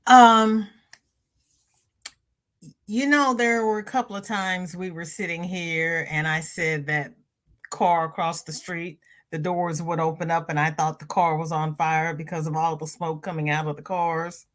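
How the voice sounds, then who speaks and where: A woman hosting a crochet livestream, chatting casually and reading viewer comments. She sounds stuffy-nosed and greets viewers by name with high, bright lifts in her voice. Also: steady tone, measured pace. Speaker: crochet channel host.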